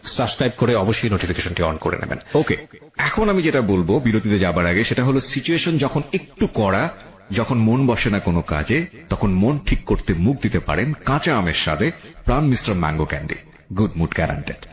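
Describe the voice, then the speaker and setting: A man's voice: fairly low pitch, sing-song, a little fast. A man with a Bangladeshi accent presenting a radio show as its host.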